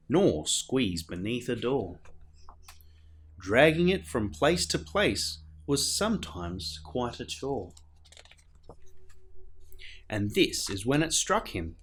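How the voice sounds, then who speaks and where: A man reading a children's picture book aloud as a storyteller, in a theatrical manner with dramatic pauses. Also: lowish voice, a bit bright, a little hiss or hum. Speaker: storyteller, show host.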